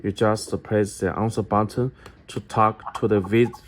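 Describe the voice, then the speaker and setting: A man giving a step-by-step product tutorial in halting English, often repeating his phrases. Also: on the low side, steady tone, very noisy. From China. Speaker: product demonstrator for an electronics company.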